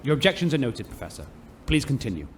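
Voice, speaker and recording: An actor playing an insecure, people-pleasing starship captain who makes formal announcements in a hesitant, placating way. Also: male voice, mid-range voice, big pitch swings, fast talker, noisy background, natural voice. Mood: curt, official. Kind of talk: sci-fi audio drama acting.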